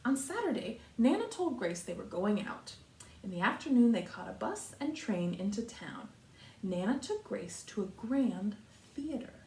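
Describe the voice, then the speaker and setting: An American woman, a kindergarten teacher, giving a read-aloud lesson to young children in simple, deliberate phrases with repetition. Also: mid-range voice, big pitch swings, warm tone. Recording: roomy echo, distant mic, faint noise.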